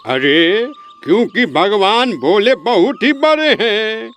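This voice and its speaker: High-voiced, exasperated village priest played by a man. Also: lively intonation, slow, fairly bright tone, slight background noise, full character voice. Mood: earnest explaining. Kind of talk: Hindi comic cartoon story acting.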